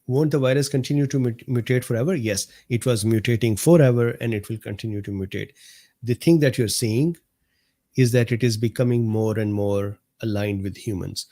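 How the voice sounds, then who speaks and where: A man with a mellow, slightly hoarse voice hosts a live stream from his desk mic. He reads viewer comments aloud in an unhurried, easygoing way. He laughs often and answers viewers by name. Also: mid-range voice. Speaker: host of a live medical talk stream. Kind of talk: casual livestream chat reading viewer comments.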